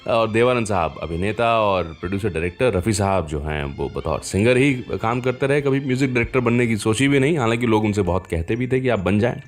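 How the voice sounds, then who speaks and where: A man's voice: lowish voice, animated, a little fast, hardly any pauses. A man with an Indian accent hosting a radio show, telling anecdotes in a chatty, conversational way and speaking directly to listeners. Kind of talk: conversational storytelling presentation of film music. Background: background music.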